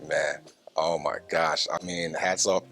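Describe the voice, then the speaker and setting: A man's voice: a bass voice, fairly even intonation, unhurried, fairly bright tone, faint music behind. An American man hosting a casual interview, reflecting on what he hears in an encouraging, affirming, conversational way. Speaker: interview host.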